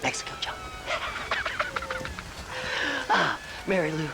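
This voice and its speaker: A man's voice: a little high, slow pace, gravelly, poor audio. A man voicing a crazed, giggling grave robber in a video game cutscene, rambling in a manic sing-song way. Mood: giddy, deranged. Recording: music behind the voice.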